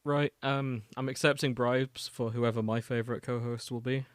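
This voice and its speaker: A man's voice: medium pitch, fairly even intonation, moderate pace, no echo. A man co-hosting in casual, joking banter, teasing and playing mock-hurt. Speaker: podcast co-host.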